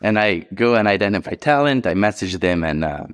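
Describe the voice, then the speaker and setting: A man in his thirties with a deep, low voice. He talks easily and casually about his own finances, with a light chuckle here and there. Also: animated, brisk. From Hungary. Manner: easygoing, chuckles now and then. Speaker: guest. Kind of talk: casual personal money story.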